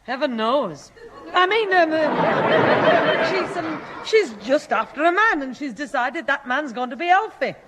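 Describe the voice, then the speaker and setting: A woman's voice: high-pitched, very animated, a little slow, a loud crowd. A woman playing a sensible, exasperated mother, admonishing and reasoning in a 1960s British radio sitcom. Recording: noisy old radio recording, audience behind.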